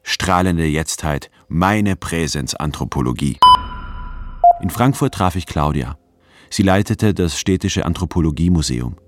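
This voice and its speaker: A male actor playing a reflective, wry anthropologist who narrates a German radio drama in a measured, precise way. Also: low voice, expressive, very noisy.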